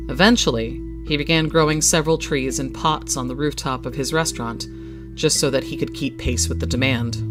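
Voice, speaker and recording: Short story narrator, scripted fiction reading, medium pitch, clear guitar music underneath. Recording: music behind the voice.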